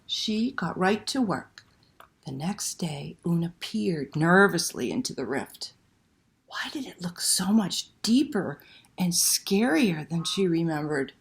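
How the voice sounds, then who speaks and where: Slow-paced woman reading a children's story aloud. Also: lowish voice, creaky. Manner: dramatic, animated delivery with hushed passages and exclamations. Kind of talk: expressive read-aloud picture book storytelling to children.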